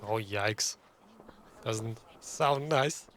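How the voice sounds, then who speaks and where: Man, gamer, unhurried, casual playthrough commentary, noisy game sound behind. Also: fairly low pitch, fairly bright tone. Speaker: gaming video player commentator.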